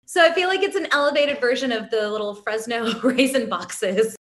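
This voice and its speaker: A woman's voice: on the high side, normal speed, a bit bright. A woman from California speaking candidly and reflectively as a guest in a casual interview, explaining her ideas as a spiritual teacher. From California, United States, immigrated at four.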